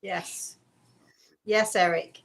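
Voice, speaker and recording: A woman's voice: mid-range voice, fairly even intonation, very slow. A woman hosting a spiritual show, chatting casually and emphatically with a guest and viewers, often repeating herself. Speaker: host of a spiritual story and question show.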